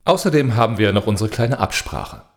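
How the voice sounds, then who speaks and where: An actor as a blunt male pressure-group spokesman in a radio satire. His voice is fairly low and very lively in pitch. He sounds self-assured and pointed. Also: brisk, husky, full character voice. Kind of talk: satirical radio play acting.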